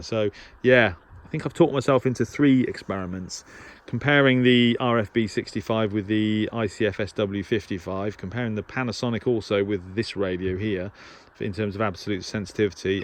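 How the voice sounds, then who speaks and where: A man giving a casual, unscripted equipment review as a hobbyist presenter, hesitating and thinking aloud as he goes. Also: on the low side. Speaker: shortwave radio hobbyist presenter.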